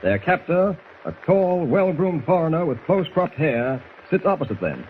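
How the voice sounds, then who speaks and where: A man's voice: fairly high pitch, very animated, moderate pace, slight background noise. A man narrating a 1940s radio adventure serial in a dramatic, suspenseful manner. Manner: dramatic, suspenseful scene-setting. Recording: thin, tinny old 1940s radio recording.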